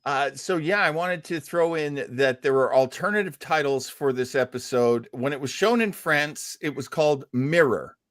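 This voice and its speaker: A man with a slightly high voice talks casually as a podcast co-host. The sound is dry, with very little room in it, and the delivery is informal fan chat. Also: normal speed, hardly any pauses, a bit bright, no echo.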